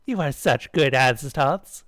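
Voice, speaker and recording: A man's voice: mid-range voice, animated, a little slow, a bit bright, dry recording, studio quality. A man voicing a girl in a children's pageant who plays a loving mother goddess, proclaiming grandly and sweetly in a story. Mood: sweet, doting.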